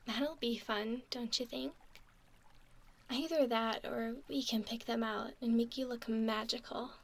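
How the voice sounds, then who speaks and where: A woman playing a gentle, doting young mermaid in a whispered ASMR roleplay, cooing softly and soothingly with a breathy voice. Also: a little high, fairly even intonation. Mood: doting, reassuring. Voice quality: soft, breathy. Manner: slow, soothing, cooing whisper and soft speech.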